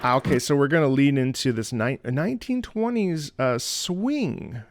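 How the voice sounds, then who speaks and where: A man's voice: mid-range voice, expressive, normal speed. A man hosting a music reaction video, an opera singer who talks enthusiastically and sings phrases along with the music. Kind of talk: casual music analysis.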